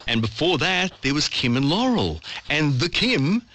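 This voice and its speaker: A man's voice: a little high, sing-song, moderate pace, pushed and bright, a little hiss or hum. An Australian man presenting live on radio from a studio. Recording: AM radio broadcast picked up online.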